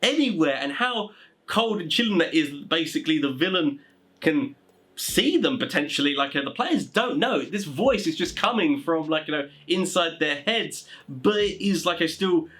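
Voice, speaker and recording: A man's voice: on the high side, animated, normal speed, fairly bright tone. A man giving informal gaming advice in a rambling, conversational way, often leaning on stock phrases. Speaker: tabletop gaming advice presenter. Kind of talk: informal explainer talk.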